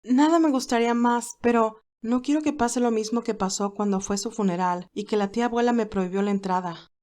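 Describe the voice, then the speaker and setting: A woman's voice: on the high side, brisk, darkish tone, lightly acted. A woman voicing a modest, kind, easily flustered young nurse in an audio fan drama. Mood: kind, earnest. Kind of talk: acted audio fan fiction dialogue.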